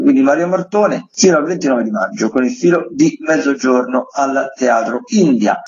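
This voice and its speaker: A man giving conversational arts commentary as a radio critic, in a discursive, softened way. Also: mid-range voice. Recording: dull, faintly noisy remote connection.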